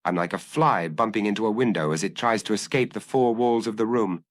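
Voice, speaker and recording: A man's voice: fairly low pitch, fairly quick, no echo, studio quality, close to an everyday voice. A man voicing an anguished, restless fugitive office clerk, agitated and confessional, in an audiobook. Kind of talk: audiobook character dialogue.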